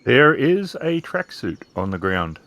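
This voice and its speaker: Mid-pitched man narrating as game master. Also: big pitch swings, a little slow, fairly bright tone, dry recording. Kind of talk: narrating a tabletop role-playing game.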